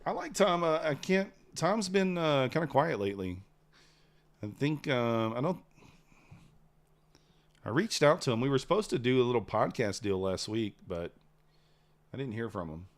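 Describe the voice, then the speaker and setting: Relaxed rambling man livestreaming trades. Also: mid-range voice, moderate pace, long pauses. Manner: relaxed, rambling, reads chat aloud. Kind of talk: casual livestream chat while trading.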